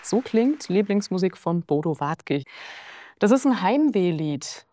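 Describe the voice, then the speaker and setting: A woman's voice: lowish voice, very animated, a little slow, dark tone, a little hiss or hum. A woman, presenting and asking questions as an interviewer. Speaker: interviewer, radio-style host.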